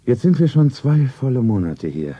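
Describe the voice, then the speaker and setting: A man playing a wealthy lover in a stylised 1970s radio play, tender and solemn, with an intimate husky delivery. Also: mid-range voice, big pitch swings, moderate pace, dark tone, dull audio.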